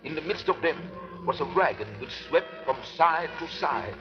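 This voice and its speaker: An actor playing a grave older professor and vampire hunter, narrating dramatically in 1930s radio style. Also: male voice, a little high, lively intonation, normal speed, over music, close to an everyday voice. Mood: grave narration. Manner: dramatic, urgent, swinging from hushed to forceful. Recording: muffled, hissy 1930s radio recording.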